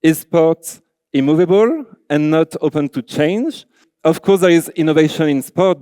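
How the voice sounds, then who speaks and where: A man with a French accent addresses a conference, earnest and loose, leaning on "like" and "you know". His voice sits a touch high for a man, yet mellow and smooth. The sound is dry and close. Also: dry recording. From France. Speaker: conference speaker, designer. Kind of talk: conference talk. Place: large auditorium.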